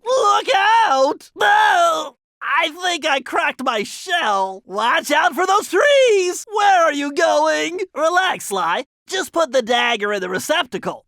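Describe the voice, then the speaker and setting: Brainy cautious turtle hacker, video game cartoon, nasal, gravelly creak, precise and wordy, dry close sound. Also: male voice, very high-pitched, sharp tone, dry recording, exaggerated voice. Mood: alarmed. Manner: precise, wordy, slightly fussy.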